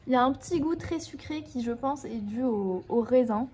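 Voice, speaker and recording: A woman's voice: high-pitched, moderate pace, darkish tone, a little hiss or hum. Woman with a cold, chatty vlogger. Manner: rambling, with frequent hesitations. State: stuffy and hoarse from a cold. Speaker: book vlogger. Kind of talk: casual chatty vlog.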